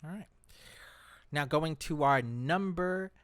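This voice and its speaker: A man's voice: a little high, takes it slowly, fairly bright tone, smooth, dry recording. A man hosting a podcast in a casual, conversational style. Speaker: podcast host. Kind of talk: casual podcast discussion of movies.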